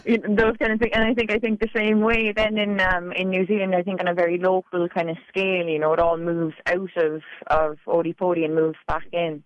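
A woman's voice: lowish voice, level tone, brisk, hardly any pauses, no echo, highs cut off. A woman with a Cork Irish accent, a poet talking casually in a radio interview, full of "like" and "you know". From Ireland. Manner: chatty, many "like"s and "you know"s. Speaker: poet guest.

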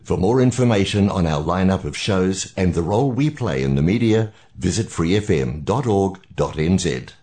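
Deep, lively male station announcer. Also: unhurried, hardly any pauses. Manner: formal, announcing. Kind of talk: scripted station ID.

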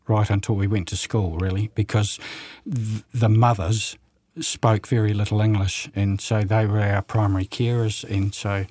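An older man of about seventy, from New Zealand, talks as a guest on local radio. His voice sits low and the sound is close and dry. He speaks haltingly, full of ums and restarts. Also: a little mellow, no room sound. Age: around 70. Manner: halting, many fillers and restarts, chuckles. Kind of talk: casual radio interview.

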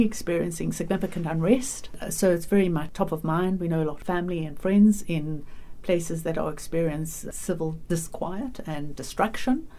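A woman from South Africa with a South African accent, giving measured, practical advice on a radio panel. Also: fairly low pitch, darkish tone. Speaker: panel guest, governance academic. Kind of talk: casual radio panel discussion.

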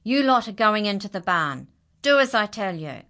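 A woman voicing a grumpy farmer who shouts at his animals, bossy and loud in a storybook reading. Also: deep voice, fairly even intonation, normal speed. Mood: angry.

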